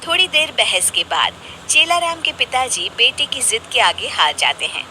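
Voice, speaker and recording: A woman tells a children's moral story. Her voice is bright and fairly high, with animated intonation. She narrates for a cartoon. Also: moderate pace, a little hiss or hum.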